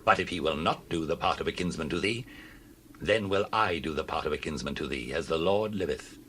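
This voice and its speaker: An actor playing a kindly, dignified landowner of ancient Israel in a dramatized Bible reading, solemn and measured. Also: male voice, a bass voice, a bit bright, slight background noise. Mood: tender, reassuring.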